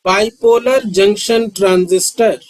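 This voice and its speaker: A man, lecturing on physics and repeating key terms with frequent pauses. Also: high-pitched, steady tone. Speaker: physics teacher. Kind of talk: slow, repetitive classroom lecture.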